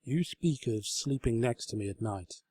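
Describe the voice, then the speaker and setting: A man voicing a gentle, shy talking bedside table from a comic storybook, earnest, courtly and wistful in a formal way. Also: mid-range voice, moderate pace, husky, no room sound, close to an everyday voice. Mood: shy longing. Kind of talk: comedic storybook reading.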